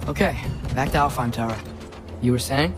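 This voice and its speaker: A man's voice: medium pitch, brisk, lightly acted. A young man playing an earnest, stubborn teenage boy hero in a video game, youthful and a little hesitant. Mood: casual. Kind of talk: naturalistic video game acting. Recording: music under the voice.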